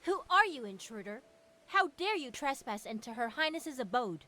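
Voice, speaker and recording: A woman's voice: sits high, big pitch swings, moderate pace, pushed and bright, pitched above the performer's own voice, full character voice. A woman voicing a stern, hostile sword-wielding palace maid, imperious and accusing, in a story game.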